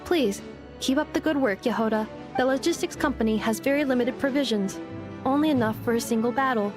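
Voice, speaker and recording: Woman as a high-voiced, formal robot girl. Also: a little fast, over music, natural voice. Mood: formal, dutiful. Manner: formal, precise, report-like. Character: dutiful, formal flying robot girl messenger. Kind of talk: video game voice acting.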